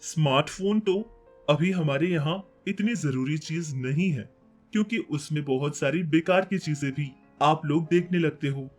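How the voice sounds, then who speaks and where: A principled storybook father, played by a man, husky and somewhat high for a male voice. He gives patient, instructive advice to his child over faint music. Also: a little slow. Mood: patient, advising. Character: principled, thrifty engineer father advising his son.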